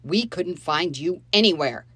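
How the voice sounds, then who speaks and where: A woman reading the part of an angry stepfather who shouts and accuses two children, in a storybook reading. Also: on the low side, lively intonation, a little slow, slightly rough, no room sound, heavily acted. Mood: shouting, accusing. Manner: loud, accusing, bitter.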